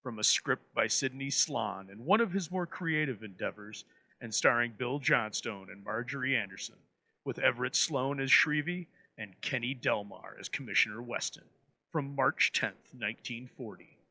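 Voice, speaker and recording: A man presenting an old radio episode in florid, dramatic scripted narration with deliberate pauses. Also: mid-range voice, animated, creaky, bright, forceful tone, low-quality recording.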